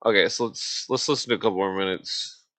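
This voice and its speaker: A man hosting a casual livestream chat in a halting, unpolished way, prone to swearing. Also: fairly low pitch, fairly bright tone. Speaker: livestream host.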